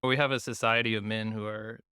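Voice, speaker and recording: A man who grew up in Taiwan talks reflectively as a podcast guest, searching for words and leaning on "like". His voice is bright and smooth. The recording is close and dry. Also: lowish voice, flat delivery, fairly quick, dry recording. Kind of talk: casual conversation.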